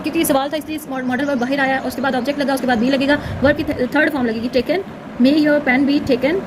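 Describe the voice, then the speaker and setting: High-pitched woman teaching, noisy recording. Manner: instructional, slowly enunciates example sentences, frequent check-in tags. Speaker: English grammar teacher. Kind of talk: grammar lesson, explaining examples step by step.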